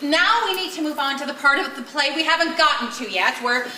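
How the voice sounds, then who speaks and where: A woman's voice: sits high, animated, fairly quick, sharp tone. A woman on stage playing a keen, placating play director who steers a rehearsal in a comedy. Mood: instructing. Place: live stage at a one-act play festival. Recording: roomy stage sound with noise.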